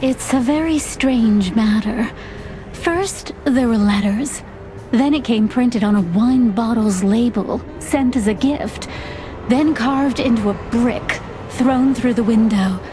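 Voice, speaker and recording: A timid young fawn secretary, with a high voice and a polite, guarded manner. She stays dutiful and composed in a film-noir game style, over clearly audible music. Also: takes it slowly. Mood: uneasy, formal. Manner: polite, reserved, hesitant yet composed.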